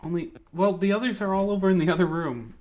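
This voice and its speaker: A man's voice: high voice, fast talker, darkish tone. A man co-hosting a drawing critique stream, chatting playfully and laughing often. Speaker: co-host of an art critique stream. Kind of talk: casual group chat.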